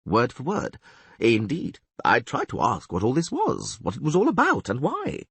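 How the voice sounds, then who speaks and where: A man's voice: mid-range voice, huge pitch swings, moderate pace, a bit bright, slightly rough, no echo, full character voice. A man voicing a flamboyant, dramatic male bard in a fantasy audiobook. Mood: indignant. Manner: theatrical.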